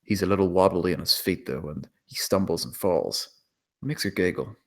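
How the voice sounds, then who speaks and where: A man with a low voice narrates a screenplay. He reads the action and scene descriptions as dramatic scripted storytelling. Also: normal speed. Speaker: narrator reading stage directions.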